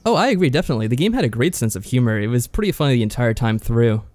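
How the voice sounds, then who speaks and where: A man co-hosting a casual review podcast at a brisk pace. His voice is mid-pitched and recorded dry, and he comes across as agreeable, with measured wording. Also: lively intonation, darkish tone, no room sound. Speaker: podcast co-host.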